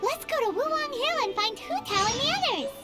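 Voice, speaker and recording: A woman's voice: extremely high pitch, animated, brisk. A woman voicing a tiny, chirpy fairy companion in a game, bubbly and upbeat. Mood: cheerful. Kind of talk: game voice acting. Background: game music.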